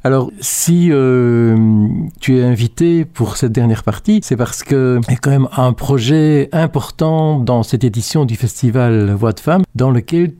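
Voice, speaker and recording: A man's voice: mid-range voice, unhurried, mellow, dry recording, clean studio sound. A man hosting a radio interview in a conversational way, feeling out his questions with hesitant phrasing. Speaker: radio show host interviewing a guest.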